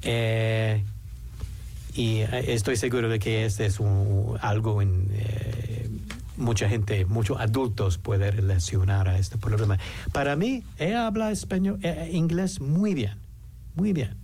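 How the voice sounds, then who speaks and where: A man's voice: lowish voice, creaky, warm tone, a little hiss or hum. A man, an English speaker, hesitantly talking as a guest in a casual interview. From United States. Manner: hesitant, halting, many restarts.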